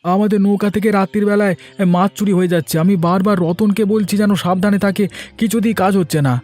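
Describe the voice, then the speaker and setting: A man's voice: high-pitched, fairly even intonation, quick pace, a little mellow, faint music behind, natural voice. A man playing a suspicious, argumentative village fisherman in a cartoon fairy tale. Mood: complaining. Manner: accusing, argumentative. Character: aggrieved village fishermen quarrelling, suspicious and defensive men.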